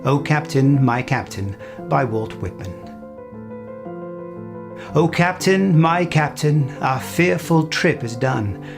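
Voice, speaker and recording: Guy doing dramatic poem reading, higher gravelly voice, guitar music clearly behind him. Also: lively intonation, slow pace, long pauses. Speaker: poetry reciter. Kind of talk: dramatic poetry recital.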